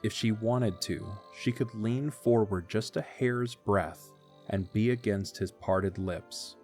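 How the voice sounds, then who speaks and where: A man narrating an audio drama in a calm, measured storytelling manner. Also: lowish voice. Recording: soft music behind.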